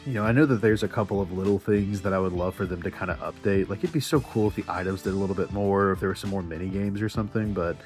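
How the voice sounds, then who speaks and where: A man with a fairly low voice chats casually in a vlog style, full of geeky enthusiasm. He talks to his viewers as he follows along with a handheld game, with music playing behind him. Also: fast, hardly any pauses, darkish tone. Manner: enthusiastic, geeky fan talk. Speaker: vlogger. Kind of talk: casual chatty vlog with play-by-play of handheld virtual pet games.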